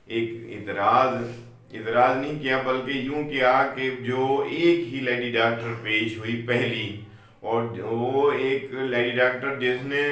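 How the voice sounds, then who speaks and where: Male law lecturer, Pakistani accent, unhurried and bright-voiced, explaining with repetition; very roomy sound. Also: mid-range voice. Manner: deliberate, repeats key points, mixes in English legal terms. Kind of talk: explanatory legal lecture with worked examples. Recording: very echoey room.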